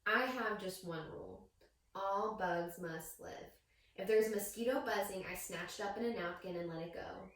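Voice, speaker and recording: A woman reading a picture book aloud to young children as a teacher, with expressive storytelling and questions to her listeners. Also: mid-range voice, normal speed. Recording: very echoey room, distant microphone.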